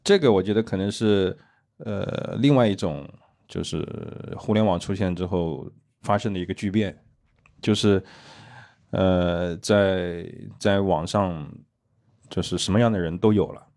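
A man in his thirties or forties, a former editor, on a casual podcast. His voice sits on the low side. He explains things patiently, stacking example after example and checking in with "right?" as he goes. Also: a little mellow. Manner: measured, explanatory, lists examples with "对吧".

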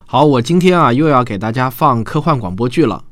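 Animated male podcast host. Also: mid-range voice, fairly quick, loud music behind the voice. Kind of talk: casual show introduction.

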